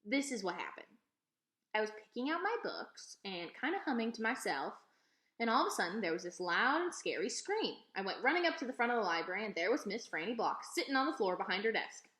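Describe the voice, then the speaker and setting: A woman reading a children's novel aloud as an expressive storyteller. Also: mid-range voice, brisk. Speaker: read-aloud reader. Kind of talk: expressive children's storybook narration, told as a young girl. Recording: echoey, roomy sound.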